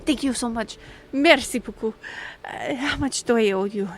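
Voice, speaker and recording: A woman's voice: a little high, moderate pace, a little hiss or hum, close to an everyday voice. A woman playing an earnest, easily flustered young half-elf royal liaison in a fantasy audio roleplay, with a stammering, pleading manner. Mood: grateful, relieved. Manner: breathy sighs, stammering, pleading.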